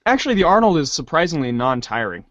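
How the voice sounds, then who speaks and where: A man's voice: fairly high pitch, big pitch swings, fast, fairly bright tone, no echo, highs cut off. A man chatting casually with fellow performers. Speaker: co-performer in a readers' theater show. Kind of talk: casual banter.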